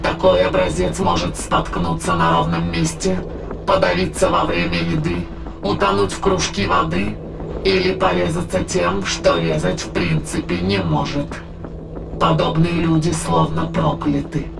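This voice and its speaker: An ancient, sinister demonic being holds forth in an audio drama. The voice is extremely gravelly and creaky, with solemn, archaic, declamatory delivery. Music plays clearly behind it. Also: mid-range voice, animated, a little slow, poor audio. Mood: solemn, menacing. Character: sinister ancient demonic spirit, solemn, gloating, coldly patient. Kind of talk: dramatized audio play, formal archaic monologue. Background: dramatic background music.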